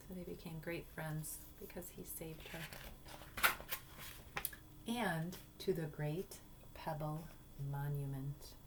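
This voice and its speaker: A woman's voice: sits low, a little hiss or hum. A woman teacher reading a storybook to children expressively and explaining it in simple phrases.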